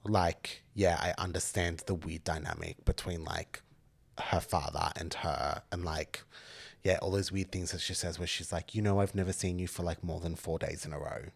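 A woman's voice: rumbling low, fairly even intonation, normal speed, creaky, slightly rough. A woman co-hosting a podcast in casual, chatty talk, full of "like" and "oh my God". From half Italian heritage. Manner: chatty, giggly, lots of "like" and "oh my God". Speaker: podcast co-host. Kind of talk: casual chat.

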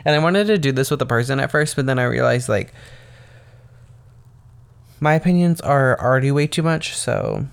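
A young man hosting his own podcast, talking casually and rambling with lots of "um" and "like", his voice slightly raspy and tired from getting up very early. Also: mid-range voice, expressive, normal speed, pauses often. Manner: rambling, meandering talk full of "um" and "like". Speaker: podcast host. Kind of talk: casual solo podcast monologue.

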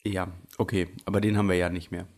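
Low-voiced male podcast co-host, chatty, filler-heavy, scratchy throat, studio mic. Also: little pitch movement, a little mellow. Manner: chatty, many fillers, quick laughs. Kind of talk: casual banter.

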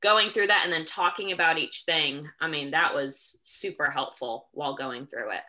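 A woman's voice: low voice, moderate pace, sharp tone, low-quality recording. A young woman in her twenties, a gym coach, answering interview questions with earnest enthusiasm.

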